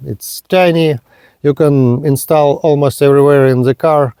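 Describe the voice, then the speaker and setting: A man narrating a hands-on product demonstration in halting, simple step-by-step phrases. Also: on the high side, fairly even intonation, warm tone, smooth, no echo. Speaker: product reviewer.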